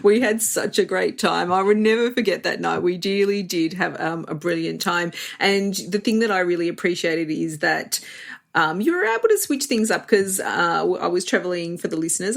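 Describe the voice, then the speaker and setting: A woman's voice: on the low side, gravelly. A woman hosting a travel podcast, interviewing a guest in a friendly way and laughing easily. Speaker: podcast host.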